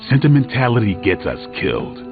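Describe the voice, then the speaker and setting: A man's voice: medium pitch, wildly swooping pitch, a little fast, vocal fry. An actor playing a hard, unsentimental male warrior in a video game. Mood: stern warning. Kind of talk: video game character dialogue. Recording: muffled lo-fi audio, music behind.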